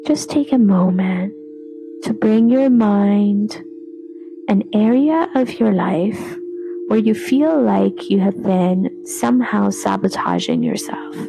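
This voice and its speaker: A woman leading a guided relaxation meditation in a soothing, unhurried way, with phrases trailing off breathily. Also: medium pitch, very animated, gravelly, rough recording. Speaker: yoga nidra meditation guide. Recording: voice over background music.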